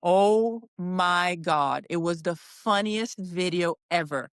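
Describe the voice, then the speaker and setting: Woman, Portuguese native speaker, middle-aged, encouraging podcast guest, lowish voice, dry sound. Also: takes it slowly, smooth, dry recording. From Brazil. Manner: upbeat, encouraging, with frequent ums and laughs. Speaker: guest wellness coach. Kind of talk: casual podcast interview.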